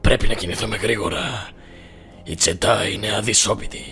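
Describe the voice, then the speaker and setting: A man voicing a manipulative old Sith master in a film fan dub, croaking and deliberate with commanding menace. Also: lowish voice, sharp tone, soft background music. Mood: commanding, scheming. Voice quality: gravelly, croaking.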